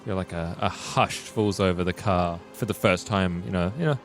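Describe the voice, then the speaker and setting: A man's voice: sits low, moderate pace, faint music behind. A man playing a tabletop role-playing game, chatting casually and describing scenes for his character. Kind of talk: casual in-game talk and roleplay.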